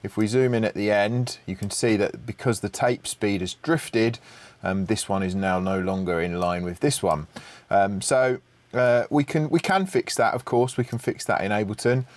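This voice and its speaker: A man's voice: on the low side, moderate pace. A middle-aged man presenting an unscripted audio production tutorial, thinking aloud in a somewhat hesitant way. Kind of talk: unscripted screen-share tutorial.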